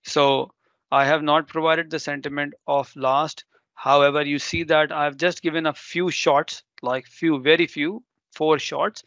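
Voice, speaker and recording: A man's voice: fairly high pitch, flat delivery, measured pace, fairly bright tone, no room sound, dull audio. A man whose first language is Urdu, presenting a live technical demo and explaining step by step with ums and self-corrections.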